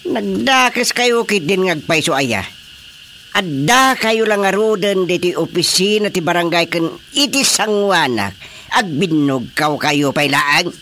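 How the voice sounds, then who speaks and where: An actor with an Ilocano accent playing an exasperated, excitable village chairman in a radio comedy drama. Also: sits high, measured pace, slight background noise, exaggerated voice. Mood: exasperated scolding.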